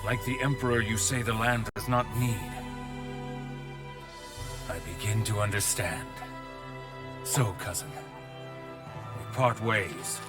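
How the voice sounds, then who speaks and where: A stern, loyal warrior of a video game epic. His voice sits on the low side and he declaims solemnly, grave and resolute, while loud music plays behind him. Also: steady tone, takes it slowly, pauses often. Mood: grave, reflective. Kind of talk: dramatic video game voice acting.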